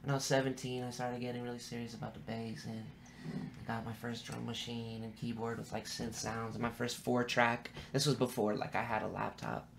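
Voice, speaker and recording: Creaky-voiced laid-back man from San Francisco. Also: mid-range voice, flat delivery, fairly quick, slight background noise. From San Francisco, United States. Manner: slangy, laid-back, swearing freely. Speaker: guest, funk musician. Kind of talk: casual podcast interview chat.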